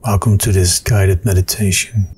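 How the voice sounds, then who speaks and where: A man narrating a guided meditation in an unhurried, soothing manner with long pauses. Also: low-pitched, fairly even intonation, darkish tone, slight background noise. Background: meditation music with singing bowls.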